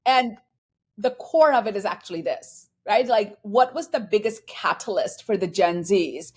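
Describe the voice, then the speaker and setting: A woman from Bangladesh speaking as an executive guest in an interview. She talks earnestly and thoughtfully and slips into quoted back-and-forth to make her points. Her voice sits at an everyday middle pitch. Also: expressive, unhurried, breathy edge. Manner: earnest, reflective, acts out quoted dialogue. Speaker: guest, finance and transformation executive. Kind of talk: conversational interview.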